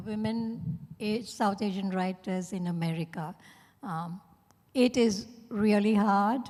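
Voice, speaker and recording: A woman's voice: on the low side, darkish tone. A woman answering as an author on a panel, unscripted, in a candid, plain-spoken, conversational way. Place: large indoor event hall at a hotel. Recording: echoey event hall, long reverb.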